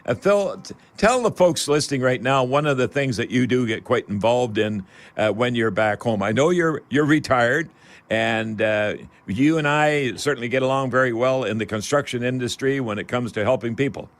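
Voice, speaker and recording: A man hosts a live radio call-in show. His voice sits at an ordinary middle pitch. He talks in a folksy manner, speaking straight to the folks listening. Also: normal speed.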